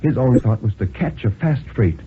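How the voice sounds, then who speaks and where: Expressive male radio thriller narrator. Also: mid-range voice. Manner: dramatic, deliberate. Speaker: narrator and dramatic reader. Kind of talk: 1950s radio thriller storytelling, scripted. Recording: lo-fi 1950s radio, hissy.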